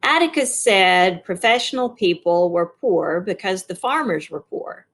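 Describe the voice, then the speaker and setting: A woman's voice: mid-range voice, lively intonation, measured pace, fairly bright tone. A woman narrating a novel as a read-along, stepping in now and then as a teacher to explain.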